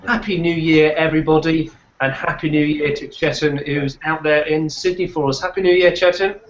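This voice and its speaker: A man hosting a cricket discussion, his voice croaky from a sore throat, politely steering the talk. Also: a little high, steady tone, normal speed, highs cut off. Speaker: host.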